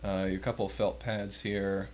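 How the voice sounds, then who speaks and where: Low-voiced man, wry and offhand, noisy recording. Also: level tone, a little slow, a little mellow. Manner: wry, self-deprecating, offhand. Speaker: host demonstrating vintage audio equipment. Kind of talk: casual unscripted hands-on demonstration.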